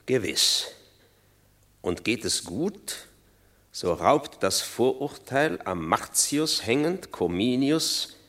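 An actor playing a resentful, scheming Roman tribune, declaiming in measured tones in a classical German radio drama. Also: male voice, medium pitch, lively intonation, fairly bright tone.

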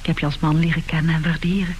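A woman's voice: low-pitched, little pitch movement, racing, darkish tone, close to an everyday voice. A woman playing a foolish middle-aged widow in a radio play, adoring, naive and eager to be married. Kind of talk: Dutch radio play acting. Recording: old radio recording, faint hiss.

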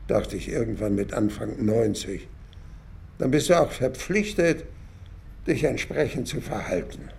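A man in his nineties with a gravelly, creaky voice. He muses slowly and wryly in a radio play, his tone rising and falling expressively over faint noise. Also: mid-range voice, warm tone. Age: older. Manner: musing, deliberate, wry. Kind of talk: radio drama monologue.

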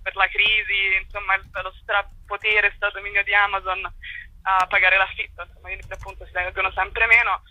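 A woman's voice: medium pitch, little pitch movement, brisk, bright, forceful tone, no echo, highs cut off. A young woman speaking conversationally as a radio interview guest, explaining things while searching for words. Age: young adult. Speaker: cultural association spokesperson, interview guest.

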